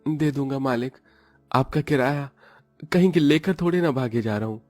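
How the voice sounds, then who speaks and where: A man's voice: mid-range voice, moderate pace, a little mellow, no echo, soft background music, natural voice. A man playing a polite, worried young working son in a cartoon story. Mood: pleading.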